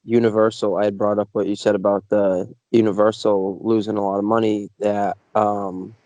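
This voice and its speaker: A man co-hosting a podcast who thinks out loud and hedges his guesses. His voice is low, mellow and flat, and the sound is dry. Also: moderate pace, no room sound, lo-fi sound. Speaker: podcast co-host. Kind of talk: casual podcast chat.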